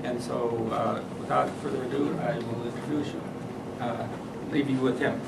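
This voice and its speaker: A man introducing a guest speaker at an event, halting with many fillers. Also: mid-range voice, steady tone, vocal fry. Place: meeting room with audience. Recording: roomy, distant mic, background noise.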